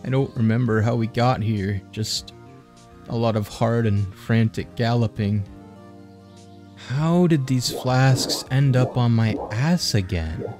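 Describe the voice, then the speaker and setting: Man acting a hapless young wanderer, slow-paced. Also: mid-range voice, darkish tone, close to an everyday voice. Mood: weary, sheepish. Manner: hesitant, stammering, slangy. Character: hapless, earnest young male wanderer and failed bandit. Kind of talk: manga comic dub acting. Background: music under the dialogue.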